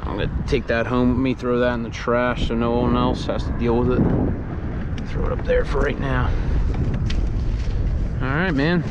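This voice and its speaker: A laid-back man talks to his viewers while fishing from a kayak in the wind. His voice sits at an ordinary pitch and mutters along as he works. Clear wind rush and water noise sit under his voice from the action camera. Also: fairly even intonation, a little slow, pauses often, lo-fi sound. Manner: laid-back, muttering to himself between catches. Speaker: fishing vlogger. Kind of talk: casual on-the-water narration. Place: kayak on open water under a bridge, windy. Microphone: head-mounted action camera.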